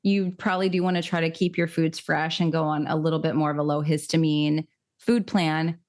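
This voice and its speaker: A woman co-hosting a wellness podcast, talking in a relaxed, affirming way and laughing easily. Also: low voice, a little fast. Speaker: podcast co-host. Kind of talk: casual wellness chat.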